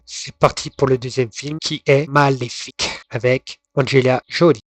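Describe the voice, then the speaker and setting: A man's voice: medium pitch, fairly even intonation, moderate pace. A man doing voice-over commentary on film clips as a host, explaining things and putting questions to viewers. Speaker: host of a film goofs video series. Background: background music.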